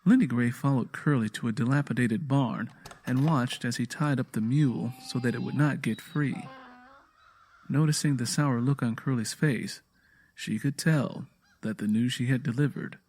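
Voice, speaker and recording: A man's voice: mid-range voice, normal speed, a little mellow. A man narrating a scripted audio drama in a storytelling style.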